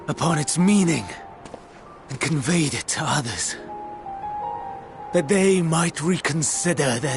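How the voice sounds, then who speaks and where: A mournful, earnest figure from a game cutscene, heavy with failure. His voice sits a little high and moves slowly, in halting, formal, archaic phrases. Music plays clearly behind him. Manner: solemn, slow, halting phrases. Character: Hermes, sorrowful, earnest man wracked with failure.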